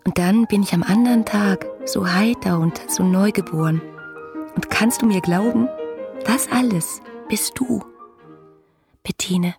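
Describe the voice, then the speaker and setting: An actress playing an ardent, dreamy young letter writer, breathy and confiding, in a radio play. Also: female voice, medium pitch, a little slow, over music, lightly acted. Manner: musing, confiding, intimate.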